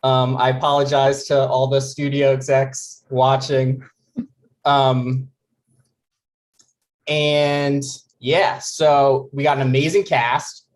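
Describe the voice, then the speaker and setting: A man's voice: mid-range voice, flat delivery, slow pace. A man hosting a live table read and narrating the screen directions of a script. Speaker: host and reader of screen directions.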